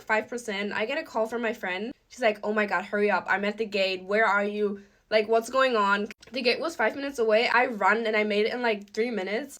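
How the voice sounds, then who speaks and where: A woman tells a personal story in a casual, chatty way, as a social media creator would. Her voice sits at a medium pitch, and she dramatizes quoted speech along the way. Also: fairly bright tone. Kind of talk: casual storytime talking to camera.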